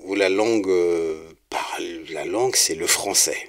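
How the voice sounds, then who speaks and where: Slow, low-voiced Congolese-born singer talking. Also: male voice. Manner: hesitant, many restarts and fillers. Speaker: singer-songwriter guest. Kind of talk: relaxed interview talk.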